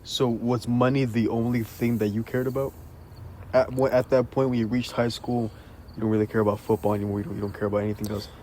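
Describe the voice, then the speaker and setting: A man's voice: mid-range voice, fast talker. A twenty-one-year-old man in casual, unscripted conversation, often repeating the starts of words as he puts questions and thoughts together. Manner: stammering, repeated word starts. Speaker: conversation host asking questions. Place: outdoors in an empty parking lot. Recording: steady outdoor insect noise. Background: crickets and night insects.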